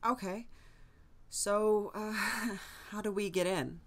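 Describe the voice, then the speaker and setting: A woman playing a nervous, soft-hearted young man in an audio drama, hesitant and flustered with sighs and stammers. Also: mid-range voice, slow. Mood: uneasy.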